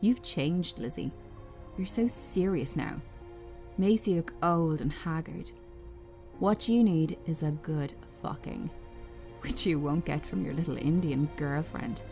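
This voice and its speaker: Woman doing a nasty jealous ex bloke, sneering and taunting, slow mellow voice, audio drama. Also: low voice, vocal fry, faint music behind. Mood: menacing taunts. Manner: taunting, contemptuous. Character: menacing, sneering, jealous abusive ex-boyfriend, adult man.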